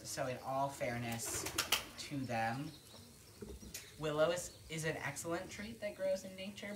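A woman with a low voice talks casually on a live stream from an outdoor barnyard. She rambles with fillers and laughs easily. The phone recording carries clear outdoor noise and birdsong. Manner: chatty, laughing, many fillers. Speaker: sanctuary livestream host.

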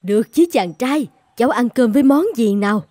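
Mellow, motherly older woman, voiced by an actress. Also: a little high, brisk, no room sound, close to an everyday voice. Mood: caring, insistent. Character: motherly, caring older female eatery owner. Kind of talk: animated moral-tale dubbing.

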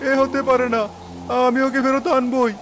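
High-pitched devoted fisherman father. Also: level tone, normal speed, background noise. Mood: desperate. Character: devoted village fisherman father, determined and protective. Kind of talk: dramatic Bengali animated story acting. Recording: faint rain and storm ambience.

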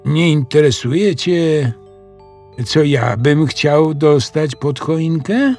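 A man's voice: fairly high pitch, animated, takes it slowly, darkish tone, faint music behind, pitched above the performer's own voice. A man voicing a naive young schoolboy with an earnest manner in a children's audio story. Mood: cheerful.

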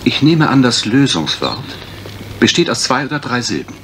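An actor playing a wry, puzzled husband and amateur treasure hunter in a German radio crime drama, with a dry, teasing delivery. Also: male voice, medium pitch, very animated, normal speed, natural voice. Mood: pondering. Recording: faintly noisy vintage radio recording.

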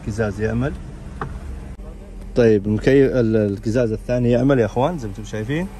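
A man's voice: on the low side, quick pace, dark tone, a little hiss or hum. A man, giving an informal walk-around commentary as a car auction presenter. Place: large indoor car showroom and workshop.

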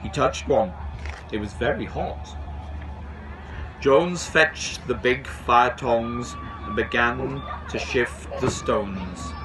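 Slow, expressive man reading a storybook. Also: medium pitch, background noise. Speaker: storybook reader. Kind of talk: scripted children's story narration read aloud. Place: outdoors on a garden bench at a railway.

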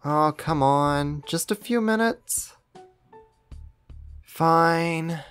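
Woman playing a clingy, low-voiced, slow-talking boyfriend. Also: smooth, soft background music. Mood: pouty, pleading. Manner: teasing, coaxing, pouty, with kisses and sighs. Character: clingy, playful, affectionate young boyfriend. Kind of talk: intimate ASMR boyfriend roleplay.

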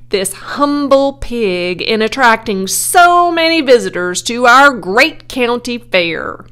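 A woman voicing a pompous loudspeaker announcer at a county fair, with ceremonious, declamatory delivery in a storybook reading. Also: high voice, clear, resonant tone, pitched above the performer's own voice, full character voice.

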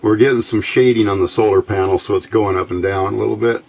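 Low-pitched man, hands-on demo. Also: steady tone, quick pace, vocal fry, darkish tone. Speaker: host demonstrating homemade radio gear. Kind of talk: casual hands-on demonstration. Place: small indoor workbench by a window. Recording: faint background noise.

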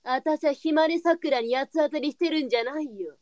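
Woman doing a scolding old-time brothel madam for a radio play, blunt, highish voice, no room echo. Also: a little fast, a little mellow, studio quality, natural voice. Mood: exasperated. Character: stern, nagging brothel madam, motherly underneath.

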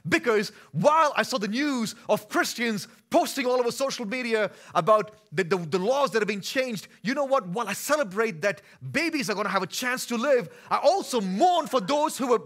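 Indian-accented man preaching with bright force. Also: very high-pitched. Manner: conversational, rising to forceful emphatic preaching. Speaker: pastor. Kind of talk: sermon. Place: dim church stage.

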